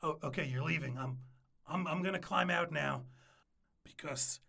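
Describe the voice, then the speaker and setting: A man's voice: on the high side, moderate pace, creaky, bright, forceful tone, a little hiss or hum, heavily acted. A man playing a nervous, pleading ex-boyfriend who stammers and repeats himself in a comic audio drama. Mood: anxious rambling.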